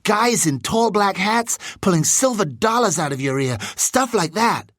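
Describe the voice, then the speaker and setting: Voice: mid-range voice, animated, a little slow, a bit bright, no room sound, clean studio sound, pitched above the performer's own voice. A narrator voicing a chatty, breezy, wisecracking young American boy wizard in an audiobook, talking in guileless slangy bursts. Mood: amazed, excited.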